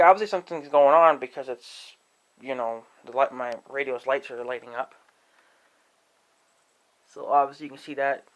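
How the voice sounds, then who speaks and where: A man giving a casual, rambling demonstration to viewers, halting and thinking aloud as he talks. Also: medium pitch, poor audio. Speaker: amateur video creator demonstrating an app. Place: indoors in own room at home.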